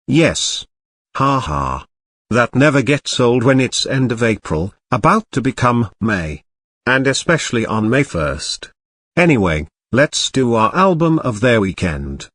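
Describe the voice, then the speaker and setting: Lively male show host, close dry sound. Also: mid-range voice, unhurried, no echo. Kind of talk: scripted talk show hosting.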